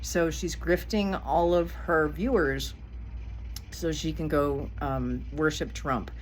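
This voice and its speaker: A middle-aged woman talks straight to her viewers in a casual commentary vlog. She is blunt and indignant and swears without holding back. Her voice sits a little low, with a faint hiss of noise behind it. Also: fairly even intonation, slow, rough recording. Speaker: vlogger.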